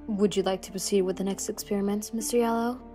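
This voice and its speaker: A woman's voice: mid-range voice, steady tone, quick pace, mellow, faint music behind, natural voice. A woman voicing a composed, businesslike aide who reports to a villain in a comic fan dub. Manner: formal, businesslike.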